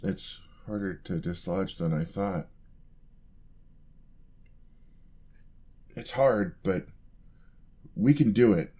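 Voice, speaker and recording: A man's voice: low-pitched, animated, measured pace, husky, heavily acted. A man playing a sweet, eager young paladin apprentice in a fantasy ASMR roleplay, speaking politely and earnestly to the listener. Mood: straining, encouraging. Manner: polite, earnest, gently encouraging.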